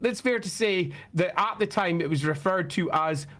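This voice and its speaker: Slightly croaky man, casual and emphatic. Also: high voice, moderate pace, a bit bright. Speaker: host. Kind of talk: casual fan talk and explanation.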